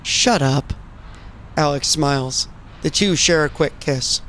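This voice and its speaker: A man's voice: on the high side, fairly even intonation, a little slow, pushed and bright, slight background noise. A man reading cinematic scripted narration as a storyteller. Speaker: narrator and player.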